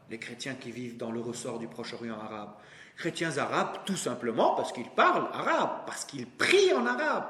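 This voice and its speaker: A man's voice: medium pitch, a bit bright. A man, an Arabic speaker, giving a public lecture as a professor in an emphatic, didactic manner. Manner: didactic, emphatic, rhetorical questions and short punchy asides. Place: indoor lecture room. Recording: roomy, echoing lecture room.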